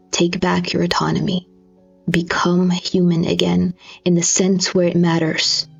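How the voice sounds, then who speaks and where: A woman giving a dramatic scripted narration, grave and theatrical, with deliberate phrasing. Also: on the low side. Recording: slightly dull recording with music behind. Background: music.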